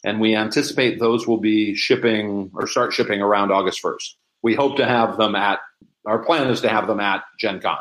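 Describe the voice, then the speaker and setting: A man's voice: fairly low pitch, fairly even intonation, normal speed, a bit bright, low-quality recording. A man speaking casually as an interview guest, explaining things with frequent restarts and doubled phrases. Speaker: interview guest, prop and audio drama maker.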